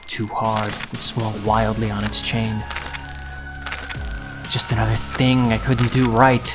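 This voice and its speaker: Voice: mid-range voice, little pitch movement, slow, over loud music, lightly acted. An actor playing an anxious, self-doubting, idealistic young thief who narrates their own story earnestly and intensely in an audio drama. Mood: grieving, bitter.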